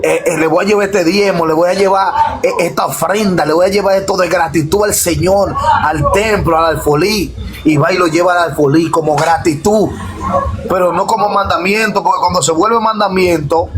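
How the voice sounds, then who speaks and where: A man's voice: high voice, normal speed. A man preaching informally as a pastor in a live discussion, emphatic and repetitive, over noisy live stream audio.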